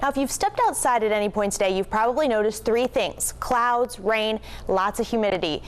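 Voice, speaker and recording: A woman's voice: a little high, fairly quick. A woman anchoring a television newscast, reading scripted stories in a presenter's delivery. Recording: clearly noisy TV news aircheck.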